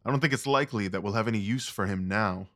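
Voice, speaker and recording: A man playing a brooding, guarded Victorian gentleman detective in an audio drama, speaking in a clipped, formal, world-weary way. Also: on the low side, fast talker, natural voice. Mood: brisk.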